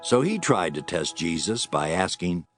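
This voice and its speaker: Low-voiced, expressive male Bible narrator. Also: unhurried, faint music behind. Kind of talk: scripted Bible drama narration.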